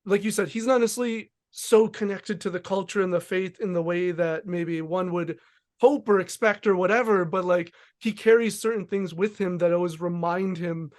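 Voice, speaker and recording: A man's voice: high voice, normal speed. A man hosting a podcast, asking questions in a thoughtful, casual way with many fillers and hedges. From United States. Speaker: podcast host. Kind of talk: casual interview chat.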